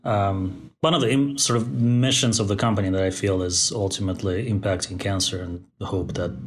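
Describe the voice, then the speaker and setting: A man in his forties, born in Moscow and raised in Central Asia, speaks thoughtfully as a podcast guest, pausing often to find his words. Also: fairly low pitch, steady tone, noisy background. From born in Moscow, raised in Tajikistan. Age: 40s. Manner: thoughtful, many fillers and restarts. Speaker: guest, company founder. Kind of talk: podcast interview. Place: Bay Area.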